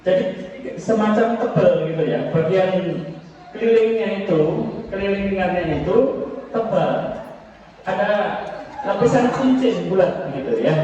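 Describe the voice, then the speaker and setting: A man's voice: sits high, a little mellow. A man teaching farmers at a village gathering, explaining a demonstration step by step and often checking in with "ya". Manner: explanatory, repetitive, checks listeners with "ya". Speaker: agricultural extension instructor. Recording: echoey, distant, dull with noise.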